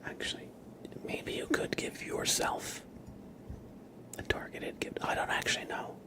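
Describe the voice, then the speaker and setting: A man hosting a live ASMR stream, speaking in a breathy, hushed whisper with repeated, drawn-out words. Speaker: ASMR livestream host. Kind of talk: live ASMR, thanking viewers and chatting.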